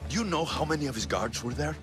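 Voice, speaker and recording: An actor playing a young mercenary in a video game, wry and put-upon, with a blunt, grumbling manner. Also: male voice, on the high side, lively intonation, quick pace, over music, natural voice. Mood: exasperated. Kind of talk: video game cutscene acting.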